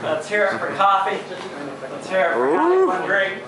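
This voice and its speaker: A man's voice: high voice, sing-song, moderate pace. A man performing a stand-up comedy set in a small bar, chatting with the audience and asking them questions. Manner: chatty crowd work, asks the audience. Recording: roomy bar, from far off, crowd. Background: audience laughing and chatting.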